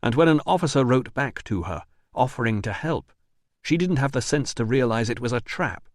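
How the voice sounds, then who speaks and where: A man voicing a calm, dry-witted male investigator in an audiobook, level and sardonic. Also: medium pitch, normal speed, no echo, natural voice. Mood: cool. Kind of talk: audiobook dialogue.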